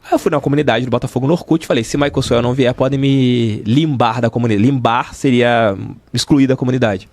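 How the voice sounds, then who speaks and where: A man's voice: medium pitch, fast talker, darkish tone, no echo. A middle-aged Brazilian man with a Rio de Janeiro state accent, telling stories casually and emphatically as an interview guest, repeating phrases for emphasis. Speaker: sports journalist, interview guest. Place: podcast studio.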